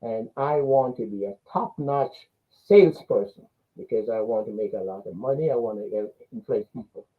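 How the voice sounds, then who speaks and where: A man's voice: lowish voice, lively intonation, normal speed, narrow, tinny audio. An older man from Jamaica with a Jamaican accent, telling his own story as a guest in an interview. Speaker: interview guest, business coach.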